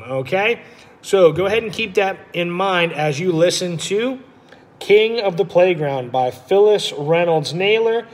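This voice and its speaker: Animated male teacher in an echoey room. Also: a little high. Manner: instructive, checks in with listeners. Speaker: teacher reading aloud. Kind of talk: lesson and storybook narration. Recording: echoey room with long reverb.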